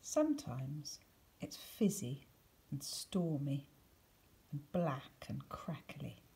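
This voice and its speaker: A woman reading a children's picture book aloud, with deliberate, expressive emphasis and some hushed words. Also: deep voice, a little hiss or hum. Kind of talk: expressive scripted storybook narration for children.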